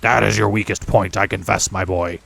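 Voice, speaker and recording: Male narrator as older goblin dad, rough deep voice, bossy, unhurried, storybook character. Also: steady tone, fairly bright tone, heavily acted. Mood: wry, fond.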